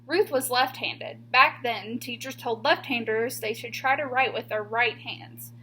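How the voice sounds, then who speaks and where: A woman teacher reading a children's picture book aloud expressively, with deliberate, emphatic pauses on key words. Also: on the high side, pushed and bright, slight background noise, low-quality recording.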